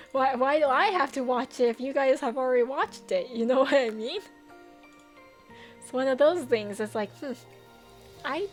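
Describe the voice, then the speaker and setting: Woman streamer yapping to chat, kinda high voice, a bit tired, music playing soft behind. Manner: chatty, laughs often, trails off. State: voice getting tired. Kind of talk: casual chat with viewers.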